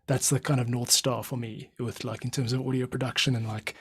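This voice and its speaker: Male podcast host, easygoing and slangy, medium-pitched everyday voice. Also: flat delivery, a little fast, breathy edge. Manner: laid-back, slangy, says dude and cool. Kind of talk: casual chat.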